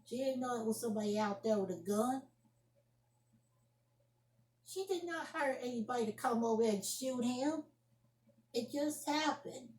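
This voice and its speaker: A woman's voice: fairly high pitch, lively intonation, moderate pace, long pauses, darkish tone, lightly acted. Woman acting an indignant young woman, echoey room. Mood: exasperated apology. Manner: scolding, emphatic, repeats phrases. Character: indignant young woman scolding her friend's relative by phone. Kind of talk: amateur solo acted monologue, one side of a phone argument. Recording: roomy echoing home recording.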